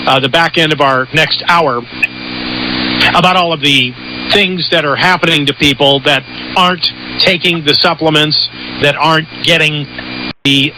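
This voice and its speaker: A man hosting a casual promotional health talk, rambling and hesitant. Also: on the high side. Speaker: radio show host. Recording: noisy shortwave radio broadcast.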